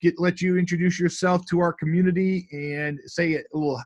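A man's voice: high-pitched, steady tone, brisk. A man who hosts a school podcast and used to be a principal, asking loose, rambling questions in an upbeat, enthusiastic way. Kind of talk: casual podcast interview.

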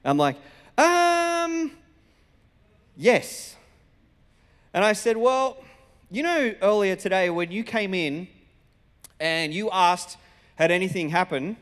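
A man's voice: high-pitched, animated, normal speed, fairly bright tone. A man with an Australian accent preaching as a pastor, telling stories in a conversational, colloquial way and acting out dialogue. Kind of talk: sermon with personal storytelling. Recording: reverberant hall sound.

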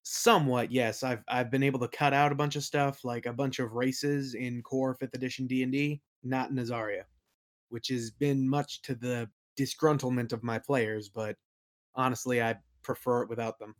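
Man, casual podcast guest, mid-pitched voice. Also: fairly even intonation, normal speed. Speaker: podcast guest, game master and worldbuilder. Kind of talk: casual interview conversation.

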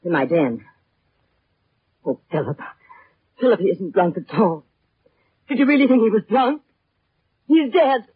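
An actress playing a sardonic, flirtatious former band singer in a 1940s radio detective drama, with a cool, teasing, dry manner. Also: female voice, medium pitch, lively intonation, a little slow, long pauses, a little hiss or hum, natural voice. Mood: shaken. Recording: thin, narrow vintage radio recording.

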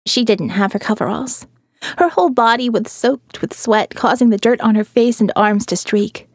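High-voiced woman narrating a diary, dry sound. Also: a little mellow, no room sound. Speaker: audiobook narrator. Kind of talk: scripted first-person diary narration. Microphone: close studio mic, dry.

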